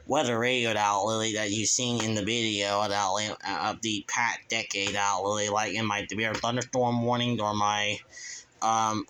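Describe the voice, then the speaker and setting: A man's voice: fairly low pitch, sharp tone, a little hiss or hum, low-quality recording. A man from Kansas talking casually in a show-and-tell vlog from his bedroom, rambling with many restarts and a repeated verbal tic. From Kansas, USA. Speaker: channel owner, weather radio hobbyist.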